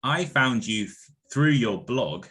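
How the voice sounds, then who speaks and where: Clear, measured male podcast host. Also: mid-range voice, lively intonation, fairly bright tone, no echo. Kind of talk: scripted intro then casual interview.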